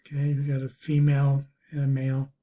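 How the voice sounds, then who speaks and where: A man narrating a software review walkthrough in halting, piecemeal phrases. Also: medium pitch, completely flat delivery. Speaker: product reviewer. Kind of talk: screen-recorded software walkthrough. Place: voice-over recorded over a screen capture.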